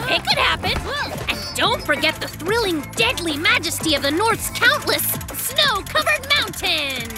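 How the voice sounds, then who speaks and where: A woman voicing a cocky, excitable young male bear inventor in a children's cartoon, with showy, grandiose patter. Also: very high voice. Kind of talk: animated children's cartoon acting.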